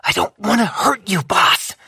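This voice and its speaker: A fiery young woman, all nerve and bite, swearing as she fights, as an audiobook reader puts her on. The voice sits high and husky, close and dry, pleading hard and pushing fast. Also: lively intonation, pushed and bright, dry recording, heavily acted. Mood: angry, urgent. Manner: raised pitch for the character, urgent and pleading. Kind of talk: audiobook character voice.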